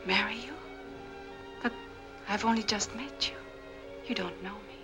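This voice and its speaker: Actress playing troubled young widow over film music. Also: female voice, mid-range voice, unhurried, close to an everyday voice. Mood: sorrowful, hesitant. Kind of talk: 1940s film acting. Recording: old film soundtrack, music behind.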